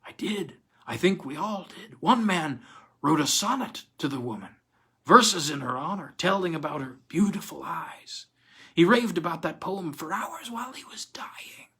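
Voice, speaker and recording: Chained male prisoner, acted by a male reader: resigned, bitter, halting delivery in a raised pitch, horror tale. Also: animated, a bit bright, breathy edge, full character voice. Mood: weary, desperate. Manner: halting, snarling, repeating himself.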